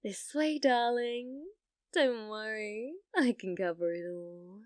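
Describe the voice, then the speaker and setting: Girl voice actor doing clingy yandere girlfriend asmr, higher voice, really slow, sweet but kinda threatening, super dry close sound. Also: dry recording. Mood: tender, doting. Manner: sugary, coaxing, with veiled menace. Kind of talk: ASMR roleplay, addressing the listener as a date.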